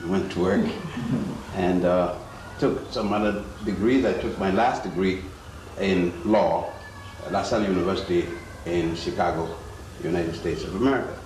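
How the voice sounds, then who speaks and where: An older man from Ethiopia, giving a public lecture in a slow, halting way with many pauses. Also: sits low, darkish tone. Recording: echoey hall from far off, noisy.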